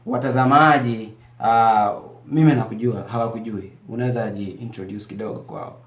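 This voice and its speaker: Male studio host, low-pitched and halting; roomy studio sound. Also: steady tone. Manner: halting, many fillers and restarts. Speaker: TV show host. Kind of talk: hosting an interview, switching between English and Swahili.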